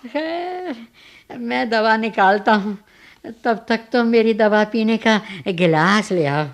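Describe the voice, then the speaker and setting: Querulous bedridden old man, radio drama: high-pitched, very slow, halting, plaintive. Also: expressive, heavily acted. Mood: querulous, fretful. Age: older. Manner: halting, plaintive, repetitive complaining. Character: querulous, self-pitying sick old father, bedridden and dependent. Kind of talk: Hindi radio play acting.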